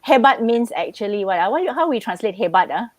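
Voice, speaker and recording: A woman's voice: medium pitch, animated, brisk, no echo. A woman hosting a casual live-stream podcast launch over a video call, chatty and upbeat. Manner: chatty, upbeat, drops local particles. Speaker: podcast host.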